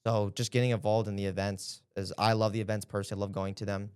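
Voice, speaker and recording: Earnest young man, smooth low voice. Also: little pitch movement, fast talker, darkish tone, dry recording, clean studio sound. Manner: earnest, hesitant, many fillers. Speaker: interview guest, former intern. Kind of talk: casual interview answers.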